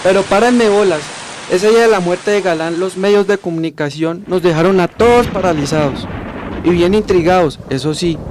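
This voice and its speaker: A man's voice: high voice, natural voice. A man playing a plain-spoken Colombian grandfather, salty and colloquial, in an amateur radio drama. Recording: noisy amateur recording.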